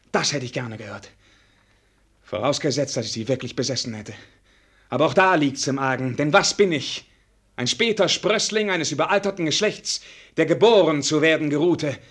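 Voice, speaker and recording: A high-strung young prince in a radio drama. His fairly high voice rises and falls widely as he declaims, theatrical and bitter, weary of court formality. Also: husky. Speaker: actor in a radio play. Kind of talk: German radio drama acting.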